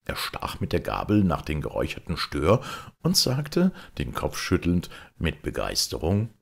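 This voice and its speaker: A man reading a literary story aloud as an audiobook narrator, measured and expressive. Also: deep voice, gravelly, husky. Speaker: audiobook reader.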